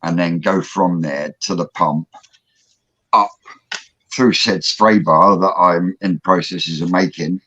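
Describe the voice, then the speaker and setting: Male host, deep register, chatty and meandering, muffled call audio. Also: steady tone, slow, pushed and bright, slightly rough, no room sound. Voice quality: rambling, technical explanations with many fillers. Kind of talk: casual livestream chat. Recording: dull lo-fi audio.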